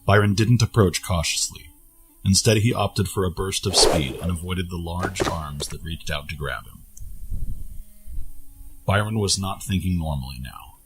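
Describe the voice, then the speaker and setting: Deep-voiced man narrating fantasy. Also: normal speed, a little hiss or hum, rough recording. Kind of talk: scripted fantasy story narration.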